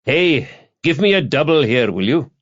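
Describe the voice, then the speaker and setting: A man's voice: mid-range voice, big pitch swings, slow pace, gravelly, fairly bright tone, highs cut off, voice pushed up, full character voice. A man voicing a hard-drinking, cynical male pub regular in an audiobook. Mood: indignant, demanding.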